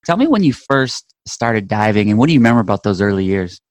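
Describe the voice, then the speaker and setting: A man's voice: lowish voice, big pitch swings, fast talker, no echo. A man hosting an interview show, introducing and questioning his guest in an enthusiastic manner. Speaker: podcast host interviewing a guest.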